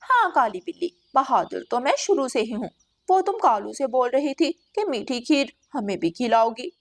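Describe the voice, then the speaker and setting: A woman's voice: a little high, big pitch swings, moderate pace. A woman voicing a greedy, trusting female crow in a children's cartoon story, with a naive and eager manner. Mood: eager, boastful. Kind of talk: children's animated story dialogue.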